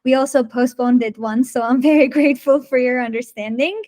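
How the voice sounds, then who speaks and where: A woman's voice: high voice, fairly even intonation, brisk, darkish tone. A woman host whose first language is Armenian, asking questions in a friendly, chatty way over a video call. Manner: friendly and chatty, laughs often. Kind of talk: casual video-call interview.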